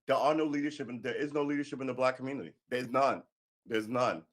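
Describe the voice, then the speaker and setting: A man's voice: mid-range voice, quick pace. A man, reading an article aloud on a live stream and breaking in with sarcastic, profane, heated asides. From New York City. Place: indoor room by a window.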